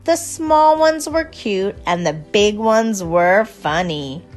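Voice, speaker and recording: A woman reading a rhyming children's storybook aloud in an expressive, unhurried storytelling manner. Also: mid-range voice, faint music behind. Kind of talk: storybook read-aloud in rhyme.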